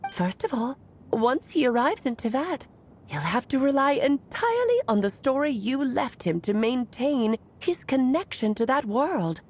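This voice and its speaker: A woman voicing a playful, caring magical friend who praises warmly and warns gravely. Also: high voice, unhurried, a little hiss or hum. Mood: earnest. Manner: expressive, swinging between bright praise and grave caution. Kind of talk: video game voice acting.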